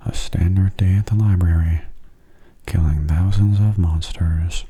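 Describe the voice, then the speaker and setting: A man speaks softly and breathily, close to a whisper, as he commentates on a video game in ASMR style. His voice is low and very mellow, with a flat, narrow melody. He is gentle and unhurried throughout. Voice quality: soft, breathy, half-whispered. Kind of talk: soft-spoken ASMR gameplay commentary.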